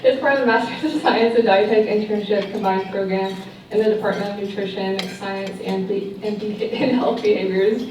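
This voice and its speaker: A woman's voice: mid-range voice, steady tone, brisk, darkish tone. A young woman from Texas, a nutrition student, tripping over a long program name and laughing it off. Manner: stumbling over words, laughing it off. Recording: echoey banquet hall, distant mic, noise. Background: dishes clinking.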